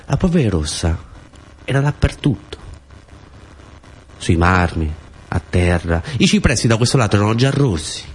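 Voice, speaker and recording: A man's voice: fairly low pitch, very animated, normal speed, pauses often, husky. A man with a southern Italian accent performing a theatrical storytelling monologue in short, colloquial, repeated phrases. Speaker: actor and storyteller.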